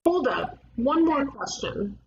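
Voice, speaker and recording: A woman playing a blunt, cocky detective with flippant deadpan delivery in an animated comedy table read. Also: high-pitched, slow, highs cut off, heavily acted.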